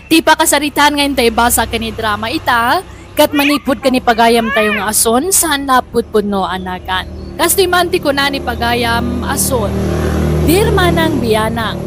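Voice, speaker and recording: Narrator: Filipino woman, high voice, scripted radio drama storytelling over clear background music. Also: animated, normal speed. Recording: music bed under narration.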